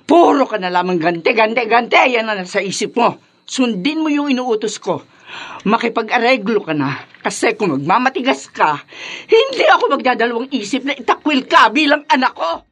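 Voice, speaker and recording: Casting: nagging Filipino radio-drama mom, high piercing voice, swooping melodramatic scolding. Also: female voice, sing-song, moderate pace, a bit bright, full character voice. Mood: fed up. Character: exasperated, scolding mother of a troublemaking son.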